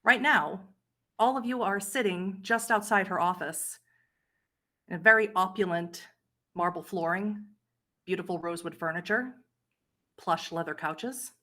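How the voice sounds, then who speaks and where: A woman's voice: on the low side, a little slow, long pauses, pushed and bright. A woman hosting and narrating a tabletop roleplay game as its storyteller.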